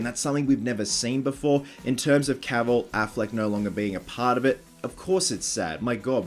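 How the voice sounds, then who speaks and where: Stuffy-nosed man chatting excitedly. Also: mid-range voice. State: stuffy and nasal from just waking up. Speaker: vlog host. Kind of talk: casual news commentary vlog.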